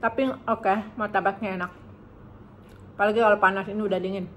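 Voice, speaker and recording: A woman in her thirties from Indonesia, chatting casually through a food review and humming while she eats, filmed in a bright living room by a camera on the table. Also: mid-range voice, steady tone, brisk, a little hiss or hum. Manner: chatty, savoring, hums while eating. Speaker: food vlogger. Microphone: camera on table in front.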